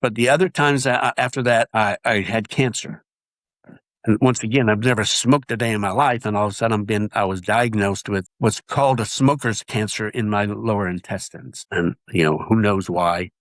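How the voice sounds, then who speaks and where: A man's voice: on the low side, a bit bright, no echo. A man, earnestly sharing his testimony in an interview and often restarting his sentences. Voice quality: nasal voice. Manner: earnest, halting with repeated restarts. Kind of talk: casual interview talk.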